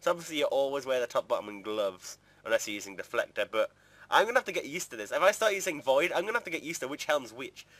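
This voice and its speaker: A man with a mid-pitched voice records casual gameplay commentary. He talks informally and chattily, rambling from one point to the next as a video creator would. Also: animated, fast, fairly bright tone, no room sound.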